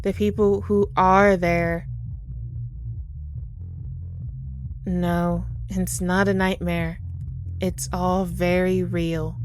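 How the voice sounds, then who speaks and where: A woman playing a gentle, sorrowful Grim Reaper in an ASMR audio roleplay, soft-spoken and tender as she reassures the listener. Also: lowish voice, level tone, slow pace, music in the background. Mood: regretful, soothing. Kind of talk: ASMR audio roleplay, intimate comforting monologue.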